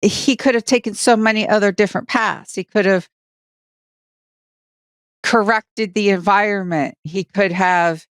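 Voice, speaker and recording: Female podcast co-host, American. Very clean, smooth tone, close dry sound, casual and emphatic discussion. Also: medium pitch, steady tone, unhurried, pauses often, no echo.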